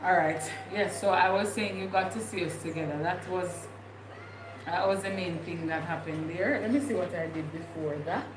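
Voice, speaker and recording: A woman's voice: on the low side, moderate pace. Woman, Jamaican accent, young adult, casual rambling chat, roomy echo, noisy background. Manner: rambling, thinking aloud with many fillers. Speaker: vlogger. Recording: echoey room with clear background noise.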